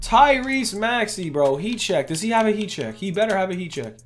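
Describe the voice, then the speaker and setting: A young man with a voice going hoarse, hosting a casual gaming video in hyped, rambling talk full of "literally" and "bro". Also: high-pitched, very animated, moderate pace, soft background music. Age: young adult. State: losing his voice. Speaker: gaming channel host. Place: indoors at a gaming setup, face cam.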